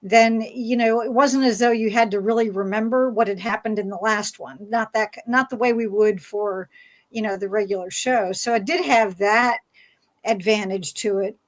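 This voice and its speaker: A woman's voice: medium pitch, fairly even intonation, normal speed. A woman chatting casually as a fan panelist, in a conversational style. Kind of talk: casual chat.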